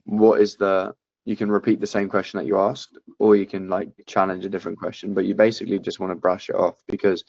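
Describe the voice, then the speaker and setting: A man breaks down a sales call with blunt, profane coaching, speaking in a flat, low voice. The sound is dry and muffled, like a lo-fi video call. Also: fast, vocal fry, no room sound. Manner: blunt, swears often. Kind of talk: casual coaching chat.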